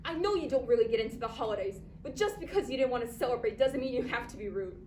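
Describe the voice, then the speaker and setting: Distant noisy stage recording, girl acting caring reproachful woman coworker, fairly high voice, earnest theatre. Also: animated, fast talker, dark tone, reverberant, close to an everyday voice. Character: upset, caring, reproachful female office coworker. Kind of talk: staged reader's theatre performance.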